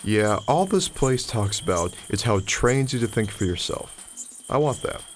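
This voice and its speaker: A man's voice: medium pitch, lively intonation, moderate pace, natural voice. Actor as earnest young college guy, faint noise. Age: young adult. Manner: casual, awkward, frank. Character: earnest, skeptical college freshman from underground. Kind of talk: naturalistic audio drama acting.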